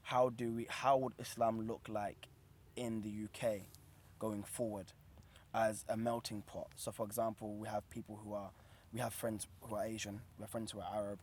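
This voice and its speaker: A man's voice: fairly low pitch, level tone, normal speed, a little mellow. A young British man hosting an interview, asking long, searching questions and affirming his guest often. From United Kingdom. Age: young adult. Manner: affirming, gives frequent short "yeah" responses. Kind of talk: casual interview.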